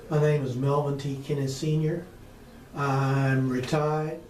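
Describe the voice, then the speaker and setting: Man of seventy, oral history interview, measured and pausing, flat delivery, mellow tone, echoey room. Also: mid-range voice, far from the mic. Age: 70s. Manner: measured, many "uh" fillers. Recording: roomy echo, faint noise.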